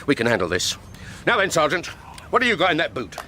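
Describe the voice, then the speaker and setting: Pompous retired copper, wry radio-drama authority, forceful and animated, faint noise behind. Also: male voice, on the high side, fast talker, exaggerated voice. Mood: sarcastic. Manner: wry, blustering, mock-authoritative. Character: pompous, sarcastic retired male police inspector. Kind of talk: comic radio drama acting.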